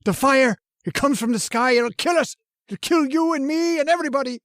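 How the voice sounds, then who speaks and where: A man's voice: extremely high pitch, a little fast, fairly bright tone. A man playing a terrified, superstitious butler in a radio horror play, wailing and repeating himself in panic. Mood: panicked wailing. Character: terrified superstitious male butler, raving of doom. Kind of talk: old-time radio horror acting.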